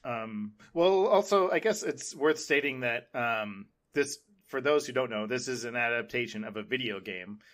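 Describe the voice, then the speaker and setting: Male podcast host, medium pitch, casual conversational delivery. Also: lively intonation, moderate pace, few pauses, a bit bright. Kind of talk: casual chat.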